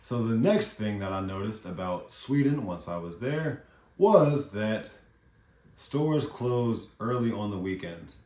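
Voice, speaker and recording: Man from the US, young adult, low voice, casual slangy vlog talk, roomy echo. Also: slow. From United States. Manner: relaxed, chatty, slangy. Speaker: vlogger. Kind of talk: casual talking-head vlog. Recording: echoey room.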